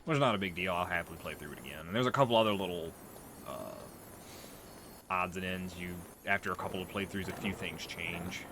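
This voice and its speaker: A man's voice: low-pitched, a little fast, noisy background. A man giving casual, offhand and sweary commentary as he plays through a video game. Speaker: let's player. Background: loud video game music.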